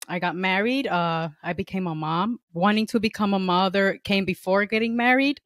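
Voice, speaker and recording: A woman in her forties from New York, a podcast guest talking in a relaxed, unhurried way from home into a stand mic on a video call. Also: mid-range voice, no echo, studio quality. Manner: relaxed, unhurried, laughs easily. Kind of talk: casual interview conversation. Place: home room. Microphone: studio mic on a stand, headphones, video call.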